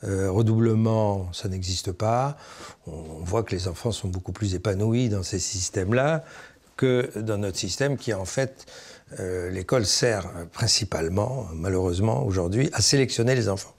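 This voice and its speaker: A middle-aged man giving an interview in a study, speaking about schooling in a brisk, assertive way. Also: fairly low pitch, darkish tone, slightly rough. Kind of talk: interview talk. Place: home study with bookshelves.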